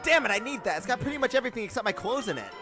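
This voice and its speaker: A man's voice: extremely high pitch, sing-song, racing, music in the background, voice pushed up. A man voicing a hot-headed, impulsive teenage male student in a visual novel, blunt and exclamatory. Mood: panicked, angry.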